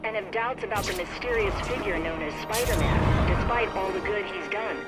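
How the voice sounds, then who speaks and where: An actress playing an earnest news commentator in a video game, delivering an editorial in a measured, formal way. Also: female voice, medium pitch, natural voice. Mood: earnest, skeptical. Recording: loud game music behind.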